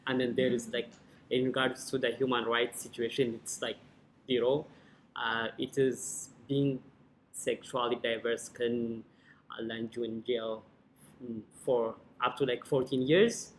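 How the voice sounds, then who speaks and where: A man's voice: mid-range voice, level tone, measured pace, a little hiss or hum, poor audio. A man from Ethiopia with an Ethiopian accent, a native Amharic speaker, giving a hesitant personal account as a panel guest. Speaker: panel guest, former clinical officer.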